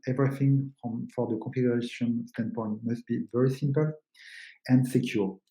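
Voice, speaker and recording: Male webinar presenter, mellow tone, halting explanatory delivery, roomy echoing sound. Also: medium pitch. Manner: explanatory, halting non-native English phrasing. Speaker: webinar presenter, company co-founder.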